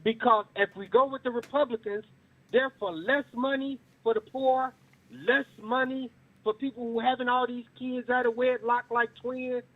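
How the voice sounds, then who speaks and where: A man's voice: very high-pitched, fairly even intonation, normal speed. A man calling in to a radio show, preachy and repeating words for emphasis. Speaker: caller. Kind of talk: call-in radio comment. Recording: tinny phone line.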